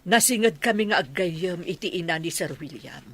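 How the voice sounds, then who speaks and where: Scolding house caretaker, low female voice, stubborn, sharp-tongued, Ilocano radio drama. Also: lively intonation, moderate pace, breathy edge. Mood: defiant, indignant.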